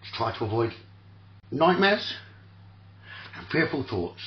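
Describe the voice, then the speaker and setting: Male sleep-talkdown host, soothing and slow, repetitive phrasing with long gaps, very varied intonation, dull lo-fi audio. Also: medium pitch, sing-song. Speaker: guided meditation host. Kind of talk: guided sleep meditation, spoken relaxation talkdown.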